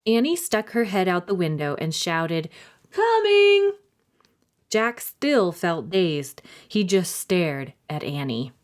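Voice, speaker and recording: Woman storytime host reading for children: expressive delivery, lively intonation, pitch a touch low. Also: slow pace, hardly any pauses. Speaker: library storytime host and reader. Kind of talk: children's storybook narration and friendly address to listeners.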